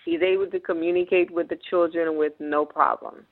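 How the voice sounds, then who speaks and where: A woman's voice: on the low side, steady tone, brisk. A woman from Haiti, a business owner, talking as a guest in a radio interview, earnest and often restarting her words. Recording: tinny phone-like radio broadcast.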